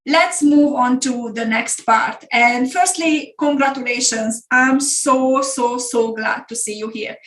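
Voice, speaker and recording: A woman's voice: high voice, slow, hardly any pauses, fairly bright tone. A middle-aged woman from Hungary with a Hungarian accent, presenting an online business masterclass in an earnest way and repeating words for emphasis. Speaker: business coach. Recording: echoey room.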